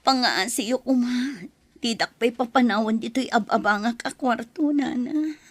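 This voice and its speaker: A woman's voice: a little high, a little slow, dry recording. An actress with an Ilocano accent playing a meek, distraught pregnant young woman in an audio drama, plaintive and pleading.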